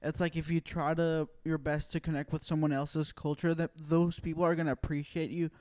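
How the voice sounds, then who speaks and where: Smooth, slightly high-voiced male podcast host. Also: fairly quick. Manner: relaxed storyteller, many "like" fillers. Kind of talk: casual chat.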